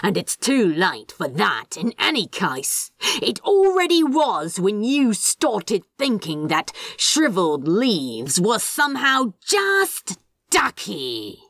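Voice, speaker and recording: A scornful male demon played by a woman delivers threats in an audiobook story. The words come slowly, with heavy, drawn-out emphasis and big swings in pitch. The sound is dry and close, keeping every bit of contempt exposed. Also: wildly swooping pitch, fairly bright tone, no room sound, heavily acted. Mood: snapping contempt. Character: menacing male demon scolding his houseplants. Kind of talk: fan fiction audiobook character voice.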